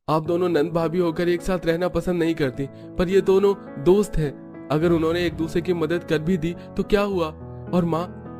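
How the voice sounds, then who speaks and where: A man playing a young son and family peacemaker in a cartoon. His voice is fairly high, politely reasoning and coaxing, with music clearly behind it. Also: close to an everyday voice. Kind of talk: Hindi cartoon story dialogue.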